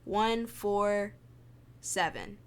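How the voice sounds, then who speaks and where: A woman's voice: medium pitch, fairly even intonation, very slow, clear, resonant tone. A woman streaming a game, thinking aloud in informal, muttered commentary to herself, with music behind her.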